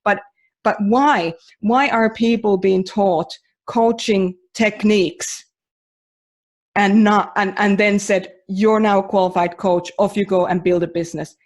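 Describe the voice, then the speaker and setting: A woman's voice: medium pitch, fairly even intonation, a little slow. A woman from Finland, a mentor running a training course, talking casually and emphatically on a podcast with many restarts and fillers.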